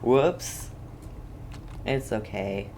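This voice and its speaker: Very low-voiced, slow woman streamer. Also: expressive, mellow, slight background noise. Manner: brief, understated offhand remarks. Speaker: game streamer. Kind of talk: casual let's-play reactions.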